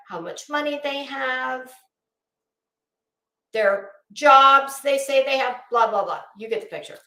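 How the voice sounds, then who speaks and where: High pitched woman doing a slow blunt livestream rant, swears a lot, roomy echo. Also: pushed and bright. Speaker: host of a live commentary channel. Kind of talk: casual livestream rant, chatting to viewers. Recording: echoey, roomy sound.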